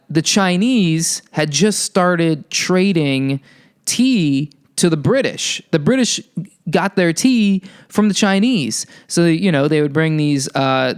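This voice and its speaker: A young man with a somewhat high, smooth and resonant voice, rambling casually about health. He is sarcastic and swears freely, and stumbles through fillers and restarts. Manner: puts on silly high voices for quoted people. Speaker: podcast host.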